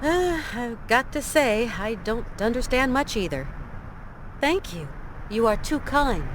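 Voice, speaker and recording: Plucky adventurer lady in a game, kinda high voice, chatty n wry, slight outdoor noise. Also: very animated, takes it slowly. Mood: friendly, bemused. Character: plucky, polite adult woman adventurer. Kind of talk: video game voice acting.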